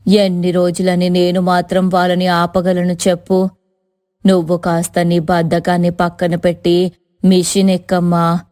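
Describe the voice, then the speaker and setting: A woman voicing a weary, pleading middle-aged village mother-in-law in an animated story, coaxing and complaining in drawn-out phrases. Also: on the low side, voice pushed down, natural voice.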